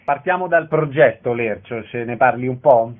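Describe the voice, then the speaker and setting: A man's voice: mid-range voice, moderate pace. A man hosting a casual radio interview, giving informal short prompts and questions. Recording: narrow-band tinny radio sound.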